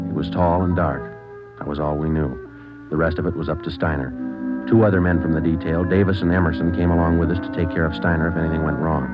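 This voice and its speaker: An American man playing a no-nonsense, deadpan police sergeant and narrator in a vintage radio crime drama. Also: deep voice, natural voice. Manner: deadpan, matter-of-fact. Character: no-nonsense male police sergeant, clipped and stern. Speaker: detective and narrator. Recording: muffled old radio recording with music.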